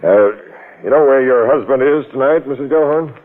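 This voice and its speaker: An actor playing a stern, commanding police captain questioning people in a 1940s-style radio crime drama. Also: male voice, medium pitch, moderate pace, close to an everyday voice. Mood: businesslike, probing. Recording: muffled old-time radio recording.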